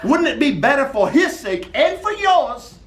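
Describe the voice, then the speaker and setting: A man voicing a smooth-talking male prosecutor, theatrical and falsely sympathetic, in an amateur play reading. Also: very high-pitched, sing-song, normal speed. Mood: oily. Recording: echoey room with loud noise.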